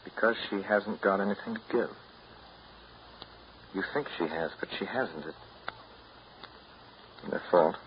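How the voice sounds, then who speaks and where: A man's voice: deep voice, measured pace, long pauses. An actor playing a weary, detached man who is through with a relationship, speaking bluntly and with resignation in 1930s radio drama style. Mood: indifferent, resigned. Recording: muffled, noisy 1930s radio broadcast recording.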